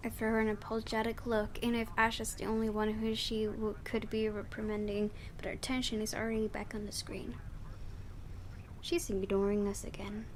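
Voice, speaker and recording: A woman's voice: mid-range voice, level tone, normal speed. A woman reading visual novel narration aloud for a let's play, stumbling over words now and then and adding casual comments. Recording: clearly noisy home recording.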